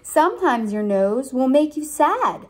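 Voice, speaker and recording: A young woman reads a picture book aloud at an unhurried pace. Her pitch swings in a lively way as she stresses key words. She speaks like a teacher, pausing to put simple questions to a small child. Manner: deliberate, emphatic storybook phrasing, prompting with questions. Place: indoor room at home.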